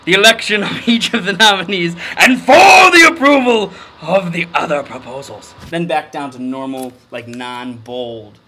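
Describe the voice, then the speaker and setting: A man giving a mock-dramatic comedic reading of a formal document, with overblown emphasis and deadpan muttered asides. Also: on the high side, normal speed, hardly any pauses, background noise.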